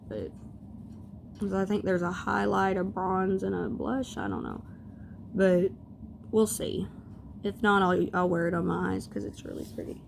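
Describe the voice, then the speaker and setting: Gravelly chatty woman. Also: lowish voice. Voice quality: scratchy voice. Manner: rambling, chatty, tangents and mild swearing. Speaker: beauty vlogger. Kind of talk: casual chat.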